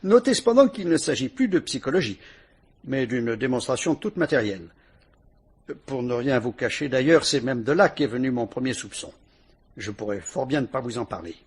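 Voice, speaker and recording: A deliberate, ironic police commissioner, husky voice, old radio play. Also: male voice, mid-range voice, lively intonation. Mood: measured. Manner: measured, deliberate and politely ironic. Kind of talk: vintage radio drama acting.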